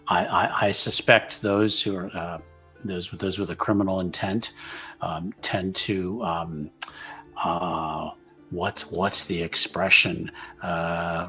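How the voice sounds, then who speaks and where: A man voicing an earnest, cautious tavern-goer in a tabletop roleplaying game, polite and halting, often repeating words. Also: low-pitched, sharp tone, full character voice. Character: soft-spoken, earnest male tavern regular, cautiously warning.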